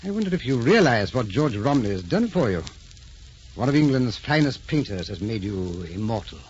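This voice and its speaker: An actor playing a fond, indulgent ambassador husband with formal, genteel courtesy in an old radio drama. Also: male voice, mid-range voice, big pitch swings, moderate pace, darkish tone, lightly acted. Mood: courteous. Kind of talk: 1940s radio drama acting. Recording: dull, noisy old radio recording.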